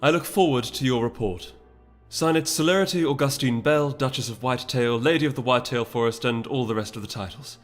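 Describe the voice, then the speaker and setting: A man's voice: mid-range voice, a little fast, faint music behind, natural voice. A man voicing a proud, resolute noble mare who speaks formally and imperiously in a dramatic fantasy audiobook. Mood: cold, determined.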